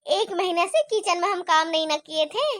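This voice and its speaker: A sly, sharp-tongued daughter-in-law, played by a woman, sugary to her mother-in-law's face and plotting in hushed mutters behind her back. Her voice is very high and bright, recorded dry and close in a homemade family skit. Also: normal speed, no echo. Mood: sweet, evasive.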